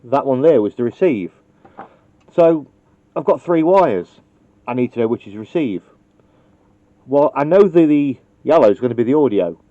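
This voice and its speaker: A man talks through a hands-on wiring job in a loose, thinking-aloud way, poking fun at himself. His voice is dark and relaxed at an ordinary pitch. The recording is dull and lo-fi. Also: moderate pace, long pauses, no echo. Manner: casual, thinking aloud, self-deprecating. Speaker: CB radio technician. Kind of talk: hands-on how-to demonstration.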